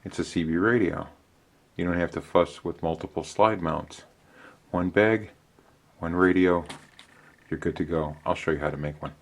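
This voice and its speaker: A middle-aged man giving a casual hands-on how-to demonstration in an unhurried, conversational way with hesitant pauses. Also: deep voice. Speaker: DIY channel host. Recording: clear background noise.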